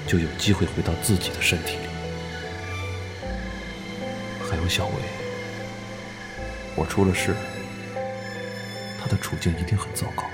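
A man's voice: low-pitched, fairly even intonation, fairly quick, pauses often, over loud music, close to an everyday voice. An actor playing a shocked, resolute man who wakes in a boy's body, talking to himself in a brooding audio drama monologue. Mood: anxious, resolved. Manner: brooding, dramatic self-talk.

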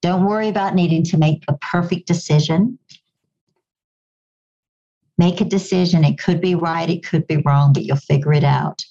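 A woman's voice: on the low side, fairly even intonation, moderate pace, pauses often, warm tone, lo-fi sound. Earnest woman with a slight English-Kenyan accent. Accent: slight English-tinged Kenyan. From Goa, India; raised in Kenya. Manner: earnest, reflective, repeats words as she restarts. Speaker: podcast guest, leadership speaker. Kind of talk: conversational interview answers.

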